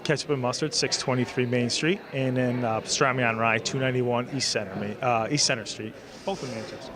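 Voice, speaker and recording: A man's voice: mid-range voice, fairly even intonation, fairly quick, a crowd in the background. A restaurant owner, a man, giving casual, hesitant answers full of uhs.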